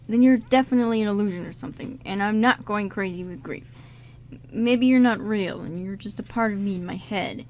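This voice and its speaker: A woman's voice: mid-range voice, slight background noise, natural voice. A woman playing a bewildered, guilt-ridden man in an amateur radio drama, hesitant and questioning. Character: guilt-ridden, bewildered male friend, a grieving con man.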